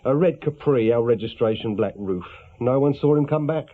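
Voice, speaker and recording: A man's voice: medium pitch, normal speed, narrow, tinny audio, close to an everyday voice. A man playing a plain-spoken, deferential police sergeant with an English accent, reporting a little hesitantly in a radio crime drama. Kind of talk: naturalistic British radio crime drama acting. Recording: thin, band-limited radio drama recording.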